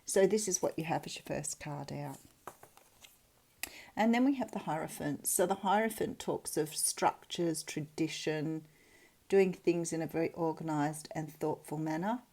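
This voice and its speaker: A woman's voice: sits low, normal speed, warm tone. An Australian woman giving a casual tarot reading in a chatty, reflective, conversational way. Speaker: tarot reader, channel host.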